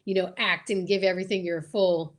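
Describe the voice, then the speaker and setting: A woman's voice: on the low side, fairly even intonation, a little fast. A woman hosting a show in casual livestream chat, talking in an enthusiastic, gushing way. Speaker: show host.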